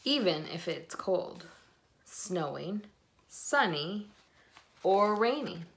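A middle-aged woman reading a children's picture book aloud in a deliberate storytelling way, recorded on a phone at home. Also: fairly low pitch, expressive, vocal fry, a bit bright. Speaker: read-aloud host. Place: indoors in a room at home.

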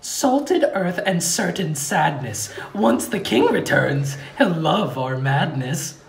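A woman's voice: sits low, very animated, takes it slowly, gravelly, exaggerated voice. A woman voicing a scheming male court jester in a comedy table read, declaiming in a theatrical singsong. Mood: gleefully menacing. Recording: roomy echo with background noise.

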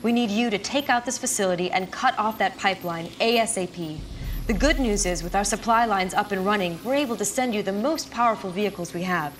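A woman's voice: medium pitch, brisk, slight background noise, natural voice. A woman playing a confident military intelligence officer in a game, briefing a commander in a direct, informal way. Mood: confident, businesslike. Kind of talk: game cutscene mission briefing.

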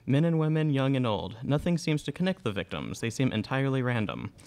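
A young man playing an earnest news reporter in a student radio play, reading formally and gravely. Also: medium pitch, big pitch swings, brisk, darkish tone. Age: young adult. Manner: formal, grave, read from script. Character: earnest male TV news reporter giving an emergency bulletin. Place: indoor studio stage. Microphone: microphone on boom stand.

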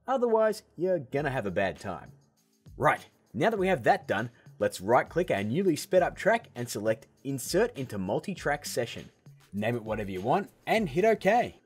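A man hosting a software tutorial, explaining steps in a casual, upbeat way. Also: mid-range voice, big pitch swings, normal speed, husky, soft background music. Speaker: video tutorial host.

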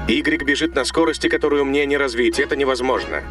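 A man's voice: mid-range voice, quick pace, lightly acted. A man voicing a loyal, logical cartoon robot car that speaks in clipped, formal, procedural announcements. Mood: calm, dutiful. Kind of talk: dubbed cartoon voice acting. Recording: music behind the voice.

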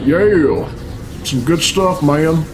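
Higher-pitched man grumbling through reaction commentary. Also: very slow, noisy background. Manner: blunt, grumbling, puzzled, swears freely. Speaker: reaction video host. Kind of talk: casual off-the-cuff reaction commentary. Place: small furnished room at home. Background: game cutscene music and sound.

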